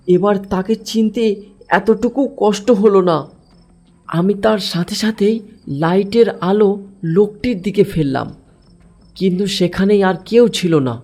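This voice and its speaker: A man's voice: sits high, dark tone. A man narrating a first-person horror story in a dramatic, suspenseful way.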